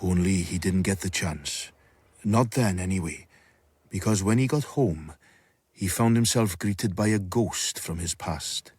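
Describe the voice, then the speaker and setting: An actor playing the grave, measured storyteller of a period radio drama, with a wry, portentous delivery. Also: male voice, sits low, darkish tone, slightly rough, no room sound. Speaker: narrator. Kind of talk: scripted radio drama narration.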